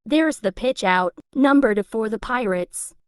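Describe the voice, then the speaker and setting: A woman's voice: a little high, lively intonation, unhurried, clean tone, no echo, studio quality. A woman playing a baseball radio announcer in an amateur emergency broadcast scenario. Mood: matter-of-fact. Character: female baseball radio announcer reporting a game.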